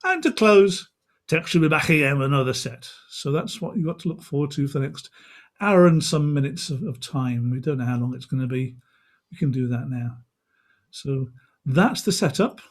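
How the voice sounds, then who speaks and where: A man with a fairly high-pitched voice hosts an online event. He talks informally and off the cuff, in a loose, chatty way over a video call. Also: lively intonation, normal speed. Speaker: co-host, magazine editor and co-founder. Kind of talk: casual unscripted event hosting.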